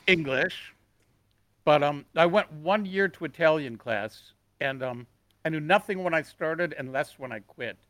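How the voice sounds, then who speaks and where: A man with a slightly raised pitch, chatting easily about life abroad. He tells anecdotes with lively play-acting. The sound is dry and close. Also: a little slow, dry recording. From Detroit, USA. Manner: animated storyteller, acts out customers in high voices. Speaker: guest, store owner. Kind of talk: casual chat.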